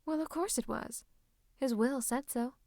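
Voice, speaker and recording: A woman playing a scheming royal advisor in a fantasy roleplay, speaking close to the listener in a coaxing, teasing, falsely comforting way with breathy asides. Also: high-pitched, unhurried, darkish tone, dry recording. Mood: coaxing, patronising. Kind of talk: roleplay ASMR monologue to the listener. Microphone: close microphone.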